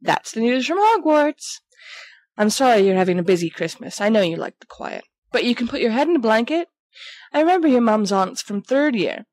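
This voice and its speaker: A woman's voice: a little high, very animated, fairly quick, a little mellow, no echo. A woman playing a vain, theatrical teenage schoolboy, reading his letters aloud in a chatty, jokey, melodramatic way. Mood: playful. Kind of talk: reading letters aloud in character, audiobook-like fan recording.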